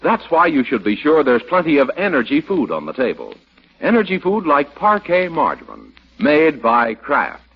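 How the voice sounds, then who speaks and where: A man reads as a 1940s radio announcer, polished and emphatic like a salesman. His voice is husky with very lively intonation, on a dull old broadcast recording. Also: on the high side, moderate pace.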